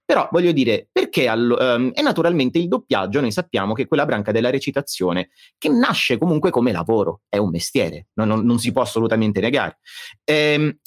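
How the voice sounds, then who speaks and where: A man with a mid-pitched voice talks casually as a guest fan dubber. He is halting, restarting his thoughts, in a close dry sound with music behind. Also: sing-song, no echo. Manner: halting, self-correcting. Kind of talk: casual chat.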